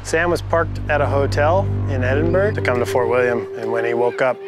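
A man's voice: mid-range voice, fairly quick, over loud music. Easygoing man narrating a team vlog. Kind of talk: casual narration.

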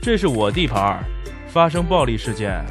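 Man acting a lively, teasing office manager. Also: medium pitch, normal speed, natural voice. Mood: amused, teasing. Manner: playful, teasing. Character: teasing, chummy young male finance manager. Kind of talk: audio drama acting. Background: background music.

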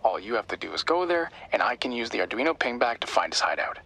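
An actor playing an earnest, caring young tech helper in a video game, eager and explanatory. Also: male voice, medium pitch, big pitch swings, quick pace, gravelly, a bit bright, slightly rough, exaggerated voice. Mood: helpful, focused. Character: earnest, worried young male tech-savvy sidekick. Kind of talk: cinematic game dialogue.

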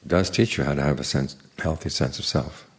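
Older man, monk, very low husky gravelly voice, unhurried dharma talk, dry humor. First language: English. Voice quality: very deep gravelly voice.

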